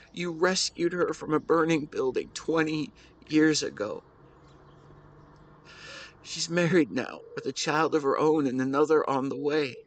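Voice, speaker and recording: A somewhat higher-pitched male voice carries a grieving husband as a man acts him out in an intimate audio drama. He speaks tenderly to the wife he lost, halting with emotion and full of devotion. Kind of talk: intimate audio roleplay monologue.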